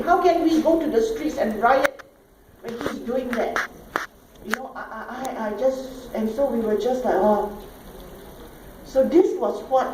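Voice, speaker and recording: A middle-aged Singaporean woman speaks to a conference audience in a mellow, fairly high voice, driving her points home with rhetorical questions. She is heard from a distance in an echoey hall with a faint hiss underneath. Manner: emphatic, rhetorical questions, repeats "all right?" and "okay?". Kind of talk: conference talk.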